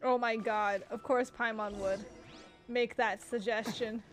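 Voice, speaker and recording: A woman's voice: fairly high pitch, fairly even intonation, a little slow, soft background music. A woman streaming a game with casual, gushing, reactive commentary.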